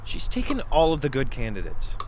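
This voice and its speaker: An actor playing a nervous, self-doubting young space-biker captain in an audio drama, hesitant and dryly sarcastic. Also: male voice, mid-range voice, wildly swooping pitch, fairly quick, a little mellow, a little hiss or hum, poor audio. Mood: fretful.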